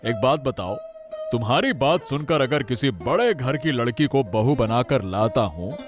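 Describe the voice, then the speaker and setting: A man's voice: mid-range voice, animated, unhurried, over music, lightly acted. A man voicing a middle-aged village father and household head in a cartoon story, firm, reasoning and emphatic. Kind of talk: Hindi cartoon moral story dialogue.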